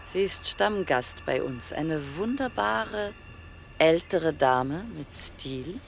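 Commanding, animated female yacht captain. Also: deep voice, slow pace, over music, full character voice. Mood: smooth, menacing. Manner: clipped, commanding, smoothly menacing. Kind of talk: radio play acting.

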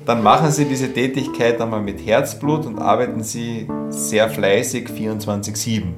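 A man of average pitch coaches the listener step by step. His motivational advice is directive and emphatic, with guitar music clearly behind him. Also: steady tone, normal speed.

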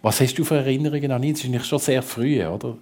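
A man's voice: medium pitch, very fast, a little mellow. A man with a Swiss German accent, interviewing conversationally and attentively as host. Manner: attentive, frequent short acknowledgements.

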